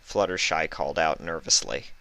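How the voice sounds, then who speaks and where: A man's voice: rumbling low, moderate pace, a bit bright, dry recording. A man reading a story aloud as scripted narration. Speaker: fan fiction reader.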